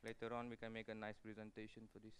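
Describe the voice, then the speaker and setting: A man's voice: on the low side, monotone, rapid-fire, slight background noise. A man, an executive chef talking through a live cooking demonstration, chatty and full of fillers and restarts. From Malaysia. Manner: chatty, explains steps with many fillers and restarts.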